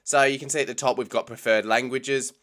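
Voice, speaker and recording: A young Australian man with an Australian accent, casually talking through a software tutorial with frequent ums. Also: medium pitch, fairly even intonation, brisk. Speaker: tech tutorial host. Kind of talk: screen-recorded software tutorial.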